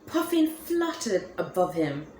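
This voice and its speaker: A woman reading a children's picture book aloud in an expressive, animated way. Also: lowish voice, a little slow, roomy sound, slight background noise. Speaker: storybook reader.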